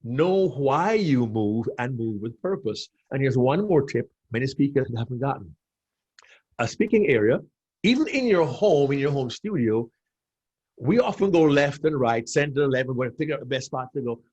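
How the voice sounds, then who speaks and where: Husky, animated man from Jamaica. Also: mid-range voice, moderate pace, highs cut off. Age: 50s. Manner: emphatic, repeats key phrases, addresses host by name. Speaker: public speaking coach, podcast guest. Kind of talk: animated coaching explanation.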